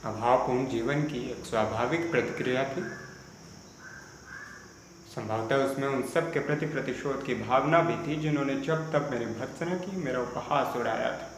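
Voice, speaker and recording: A man's voice: medium pitch, close to an everyday voice. Guy doing a sad brooding poet, stage monologue, solemn and formal, super echoey room, bit of insect hiss. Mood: bitter. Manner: solemn, deliberate, formal literary diction with dramatic pauses. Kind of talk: Hindi stage drama monologue, audition performance.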